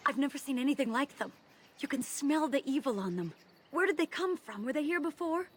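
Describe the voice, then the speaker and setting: A young elf woman speaks in a fairly high voice, earnest and watchful. She sounds grave and caring, like a companion in a fantasy video game. Noticeable ambient noise runs behind her. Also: animated, fairly quick. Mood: uneasy.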